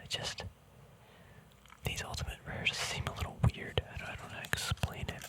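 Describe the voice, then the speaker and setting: An ASMR host speaking in a casual, rambling whisper, hesitant and thinking aloud. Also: poor audio. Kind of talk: ASMR whispering, casual card unboxing chat.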